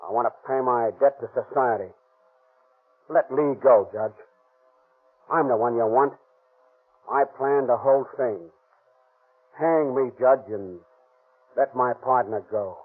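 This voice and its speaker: A grim convict who speaks urgently to the judge in a husky tone. It sounds like a tinny old radio broadcast. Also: male voice, mid-range voice, measured pace, pauses often. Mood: pleading. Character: grim convicted male bandit pleading at sentencing. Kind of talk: 1930s radio drama acting.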